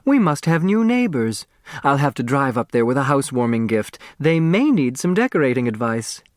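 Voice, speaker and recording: A man's voice: medium pitch, big pitch swings, normal speed, dry recording, clean studio sound, natural voice. A man voicing a snobbish, fussy middle-aged mother in an audiobook, with a haughty manner. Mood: self-important. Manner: haughty, scolding, gushing.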